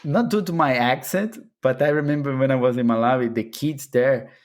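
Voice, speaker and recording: A man's voice: medium pitch, normal speed. Brazilian man chatting with easy laughs. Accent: Brazilian Portuguese. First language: Portuguese. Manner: hesitant restarts, laughs while telling anecdotes. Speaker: podcast guest. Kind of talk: casual interview chat.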